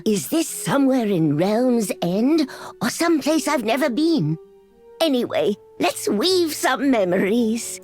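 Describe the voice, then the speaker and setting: A woman playing a kindly, forgetful old yordle grandmother in a video game, with a gentle, doting, quaint manner. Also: a little high, very animated, measured pace, faint music behind. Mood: warm, welcoming. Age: older. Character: kindly, forgetful, whimsical grandmotherly yordle lady who loves tea. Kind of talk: video game character voice lines.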